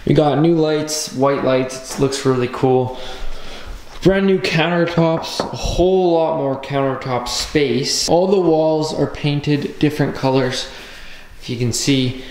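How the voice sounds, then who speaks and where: A teenage boy giving a casual, rambling, excited house tour, filmed on a handheld camera at arm's length in a car and then indoors. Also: fairly high pitch, takes it slowly, echoey room, slight background noise. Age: teens. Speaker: vlogger. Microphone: handheld wide-angle camera at arm's length.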